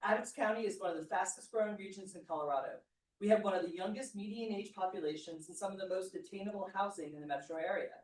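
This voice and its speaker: A woman's voice: mid-range voice, fast, darkish tone, far from the mic, a little hiss or hum. A woman reading a formal public comment at a meeting. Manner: formal, reading a prepared statement. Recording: echoey room, away from the mic.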